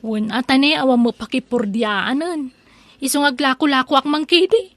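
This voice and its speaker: A woman playing a chatty, pushy peddler and nosy neighbour who flatters and teases, in an Ilocano comedy radio drama. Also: high-pitched, moderate pace, no room sound. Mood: wheedling. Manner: wheedling, teasing, exclaiming.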